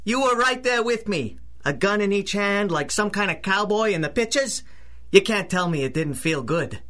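A man's voice: sits high, animated, moderate pace, fairly bright tone. An actor playing a cocky, jumpy small-time robber in a 1930s-style radio drama, talking in tough-guy slang. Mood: swaggering, bitter.

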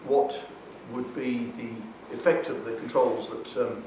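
A man speaking at a conference panel, halting and full of fillers as he builds his point. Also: mid-range voice, steady tone, noisy background. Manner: halting, many fillers and restarts. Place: conference hall. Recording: echoey hall from far off.

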